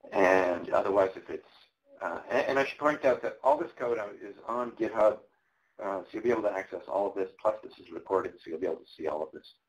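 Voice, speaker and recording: Man, fairly low voice, hoarse, tech demo presenter, relaxed step-by-step explaining. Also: dull audio. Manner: casual, explanatory, frequent ums and uhs. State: losing his voice. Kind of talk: live coding walkthrough. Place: conference presentation.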